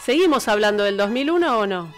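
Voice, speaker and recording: A woman with an Argentine Rioplatense accent, a radio co-host telling stories casually in an expressive, rambling way. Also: mid-range voice, fast talker. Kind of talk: animated casual storytelling and banter. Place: small radio studio. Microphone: close studio microphone on arm. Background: music.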